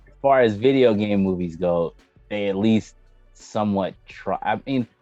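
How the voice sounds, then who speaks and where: Low-voiced man, blunt and casual, slightly muffled. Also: normal speed, dark tone, dry recording. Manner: blunt, profane, emphatic slang. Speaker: podcast co-host. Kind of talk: casual opinionated chat about video game movies. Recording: dull lo-fi mic, music underneath.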